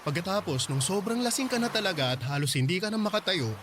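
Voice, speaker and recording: A man acting as a calm young man in a radio drama, patiently explaining and reassuring. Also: sits high, fast, background noise.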